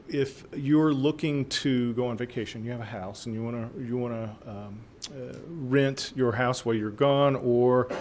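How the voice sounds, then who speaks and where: Hoarse guy with a cold giving a council presentation, lots of ums, faint hum behind. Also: medium pitch, a little mellow. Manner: halting, many fillers and restarts. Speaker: city planning staff presenter. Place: council chamber.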